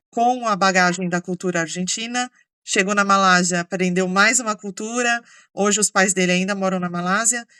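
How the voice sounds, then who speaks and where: A woman with a Brazilian accent hosting a relaxed chat and telling a story with many fillers. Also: mid-range voice, clear, resonant tone, dry recording, studio quality. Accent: Brazilian Portuguese. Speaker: host. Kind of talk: casual conversation.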